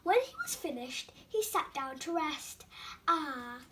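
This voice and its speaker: A young girl hosting storytime, reading a children's book aloud with careful, animated expression. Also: high voice, measured pace, slight background noise.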